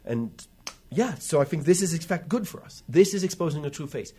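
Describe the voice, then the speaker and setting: A man giving political commentary as a guest, halting as he goes and stressing words emphatically. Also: a little high, animated, dark tone, husky. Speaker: interview guest.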